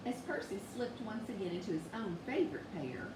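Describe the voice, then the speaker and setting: Expressive woman storyteller in an echoey room. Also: mid-range voice, brisk. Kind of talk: children's bedtime story read aloud. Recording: echoey room, distant mic, noisy background.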